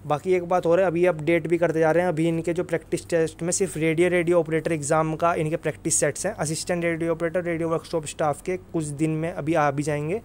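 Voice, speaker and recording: A man's voice: fairly high pitch, flat delivery, fast, few pauses, a little mellow, a little hiss or hum. A man giving an informal, emphatic explanation as an exam-prep presenter, often repeating tag phrases. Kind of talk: informal explainer over screen recording.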